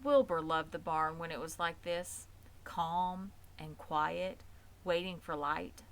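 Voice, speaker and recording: A woman's voice: lowish voice, slow pace. A woman reading scripted narration from a children's book as a storybook reader. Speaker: audiobook reader.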